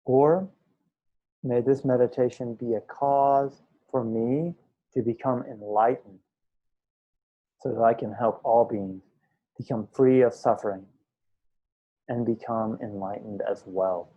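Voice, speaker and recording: A man teaching a guided meditation, speaking in unhurried short phrases with pauses between them. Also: mid-range voice, steady tone, highs cut off. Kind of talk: guided meditation, slow instructional speech.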